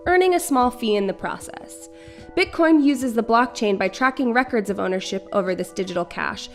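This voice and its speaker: A woman reading scripted explainer narration. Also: a little high. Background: background music.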